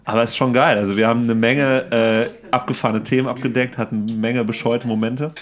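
A young man chatting casually as a podcast co-host, speaking in an informal, slangy and halting way. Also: medium pitch, level tone. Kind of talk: casual chat among friends.

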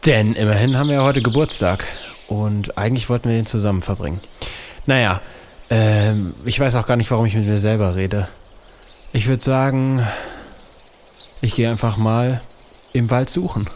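A man's voice: lowish voice, moderate pace, noisy background, close to an everyday voice. A man playing a bewildered, grumbling podcaster on an adventure in a comedic audio drama, muttering colloquially. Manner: muttering to himself, colloquial, exasperated. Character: bewildered young male podcaster, grumbling, dazed adventure hero.

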